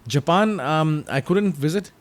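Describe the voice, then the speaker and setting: A man whose first language is Urdu, casually narrating flight simulator gameplay in a halting, friendly way with many fillers. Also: a little high. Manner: halting, many ums and uhs, friendly chatty. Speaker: flight sim YouTuber.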